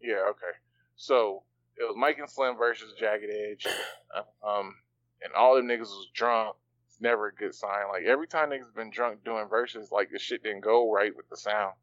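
A man co-hosting a casual podcast, rambling along with fillers and slang. His voice sits a bit low. The recording is muffled and lo-fi. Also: fairly even intonation, moderate pace, a bit bright, no room sound. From part Bahamian. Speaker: podcast co-host. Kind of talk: casual chat.

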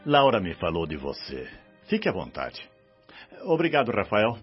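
Radio drama minister: paternal, wise authority, very animated intonation, measured delivery, muffled recording with music. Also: male voice, fairly low pitch, slightly rough, full character voice. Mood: welcoming, genial. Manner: paternal, welcoming, measured and sententious. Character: benevolent, wise government minister of the spirit world.

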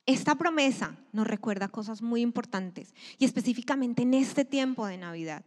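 A woman's voice: a little high, animated, normal speed. Emphatic woman preacher in an echoing church. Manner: emphatic, swells loud then drops to a hush. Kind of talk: sermon. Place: church sanctuary. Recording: long hall reverb.

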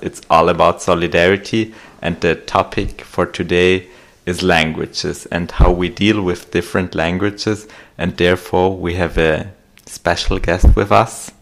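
A man's voice: low voice, steady tone, unhurried. A young man hosting a casual podcast, guiding the talk with questions. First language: German. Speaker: podcast host.

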